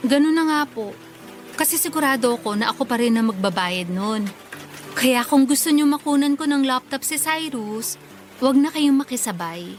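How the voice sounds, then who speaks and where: A woman's voice: sits high, lively intonation, moderate pace, soft background music. A woman playing a dutiful but exasperated young working daughter in a radio drama, polite and firm.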